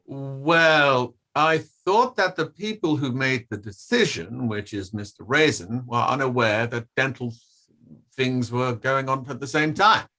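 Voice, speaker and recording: A man's voice: mid-range voice, measured pace, bright, forceful tone. A man playing a defensive coroner giving formal, hesitant evidence in a table read of a courtroom play.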